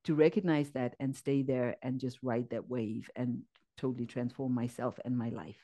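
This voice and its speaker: A woman from Zimbabwe speaks as a podcast guest, with a warm, mellow tone. She is earnest and searches for her words, filling her pauses with fillers. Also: a bass voice, fairly even intonation. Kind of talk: casual interview, personal story.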